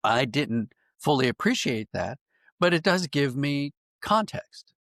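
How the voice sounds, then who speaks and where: A man's voice: medium pitch, very animated, measured pace, a bit bright, no echo, clean studio sound. A man speaking as an interview guest, reflective and conversational, thinking aloud and correcting himself as he goes. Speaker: podcast guest, writer and dramatist.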